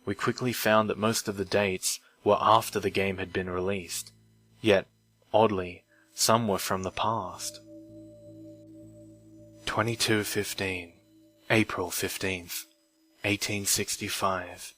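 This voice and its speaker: A man reading a scripted creepypasta in a measured, deliberate way, recorded on an old microphone. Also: low-pitched, fairly even intonation, sharp tone. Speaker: narrator of horror stories. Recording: strange noise from old mic, music. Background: music.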